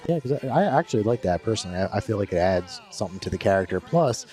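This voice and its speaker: A man's voice: lowish voice, lively intonation, racing, dark tone, faint music behind. A man hosting a podcast, asking long, winding questions with many restarts in a casual chat. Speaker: podcast host.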